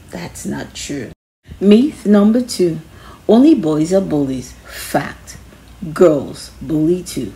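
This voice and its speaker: A woman's voice: lowish voice, mellow, slight background noise, rough recording. A woman reading a children's self-help book aloud in an instructive way, stressing key phrases.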